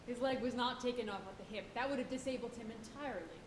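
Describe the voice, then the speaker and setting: A woman's voice: quick pace, natural voice. A woman playing a stern, cautious whaling first mate, with formal, theatrical delivery on stage. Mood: grave, brooding. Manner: formal, commanding, rebuking. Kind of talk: theatrical stage acting, Shakespearean diction. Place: college auditorium stage, live performance. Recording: echoey theatre stage, rumbling background noise.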